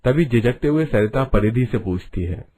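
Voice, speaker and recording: A man's voice: medium pitch, lively intonation, normal speed, mellow. A man narrating a scripted cartoon story.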